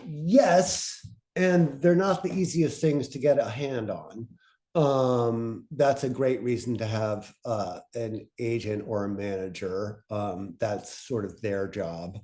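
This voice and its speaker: A man speaking informally as a panelist, thoughtful and halting, often breaking off to rephrase his point. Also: medium pitch, warm tone. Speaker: panelist presenting on podcast pitching.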